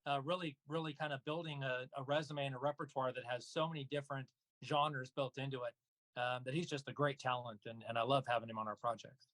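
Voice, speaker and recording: A man speaking casually as an interview guest about his work, halting with frequent pauses and asides. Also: medium pitch, steady tone, a bit bright, no room sound, poor audio. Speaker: guest, voice actor and audio drama producer. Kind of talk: casual interview talk about own projects.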